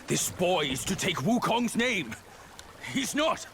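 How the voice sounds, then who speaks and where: An actor voicing a blustery, protective, hot-tempered pig-demon companion in a fantasy game, blunt and emotional, with a creaky, bright voice. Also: male voice, sits high, very animated, slow, noisy background, full character voice. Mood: indignant. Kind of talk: scripted fantasy game acting.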